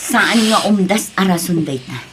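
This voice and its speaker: A woman's voice: fairly low pitch, animated, measured pace, a bit bright, slightly rough, heavily acted. A woman acting a concerned middle-aged mother in an audio drama, questioning and admonishing as she speaks. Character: concerned middle-aged mother, scolding her grown daughter.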